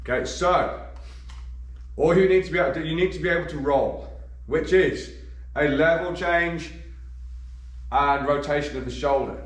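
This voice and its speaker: A man, a bit croaky from a cold, coaching boxing and counting punches as he demonstrates. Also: fairly high pitch, normal speed, long pauses. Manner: demonstrates moves while talking, counting punches. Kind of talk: instructional demonstration. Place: gym. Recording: echoey gym, voice from a distance.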